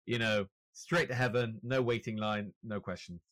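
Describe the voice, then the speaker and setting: A man with a British accent, a journalist guest in an interview, talking in a relaxed, wry, self-deprecating way. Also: on the low side, moderate pace, dry recording. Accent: British English. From Norway. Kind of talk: casual interview chat.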